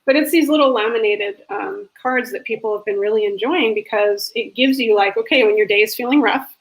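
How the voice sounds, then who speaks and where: A woman's voice: medium pitch, a little fast, rough recording. A woman hosting a casual video interview, upbeat and encouraging, with lots of ums and you-knows. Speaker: host.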